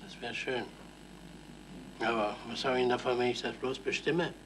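A man's voice: mid-range voice, fairly even intonation, moderate pace, a bit bright, close to an everyday voice. Man playing a grumbling, sceptical pensioner. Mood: grumpy. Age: older. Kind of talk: German radio comedy-drama acting. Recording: slightly noisy 1970s radio recording.

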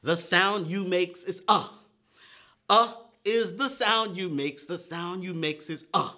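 A man's voice: high voice, takes it slowly, pushed and bright. A middle-aged man hosting preschool storytime, teaching with exaggerated, simple, repetitive phrasing for small children. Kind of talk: preschool lesson with chants and counting rhymes. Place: indoor storytime room with painted paper backdrop.

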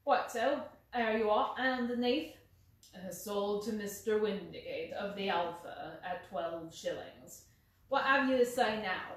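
A woman voicing a gruff, hot-tempered male market salesman who shouts and blusters, recorded in a roomy space from a distance with faint hiss. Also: medium pitch, steady tone, slow, natural voice. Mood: smug.

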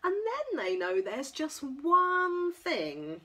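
A middle-aged woman with a fairly high voice reads a picture book to little children. Her pitch swoops widely and expressively, and she takes it very slowly, in a sing-song storytime manner. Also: wildly swooping pitch, a bit bright, a little hiss or hum. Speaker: toddler class leader. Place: indoors in front of a backdrop.

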